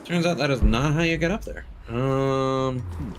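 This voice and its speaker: A man's voice: medium pitch, unhurried, a little hiss or hum. A man giving casual live commentary as he streams a video game, thinking aloud hesitantly.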